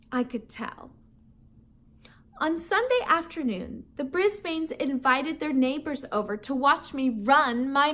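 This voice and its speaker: A woman teacher reading a children's novel aloud, with expressive, animated storytelling. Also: high-pitched.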